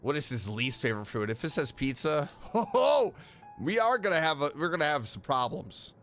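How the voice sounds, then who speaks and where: A man giving casual, excitable and playful reaction commentary as a video streamer, gushing with enthusiasm. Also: on the high side, moderate pace, faint music behind. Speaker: YouTube streamer reacting to game voice lines.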